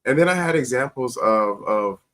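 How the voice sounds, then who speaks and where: A man's voice: medium pitch, animated, moderate pace, a bit bright. A man in an interview, telling personal stories conversationally as a guest and acting out quoted dialogue with emphasis. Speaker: talk show guest, teacher. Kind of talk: conversational storytelling on a talk show.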